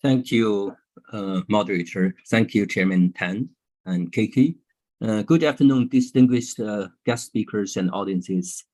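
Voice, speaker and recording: A man's voice: lowish voice, a little mellow, poor audio. Polite man giving a webinar welcome. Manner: hesitant, polite, with fillers. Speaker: institute president giving welcome address.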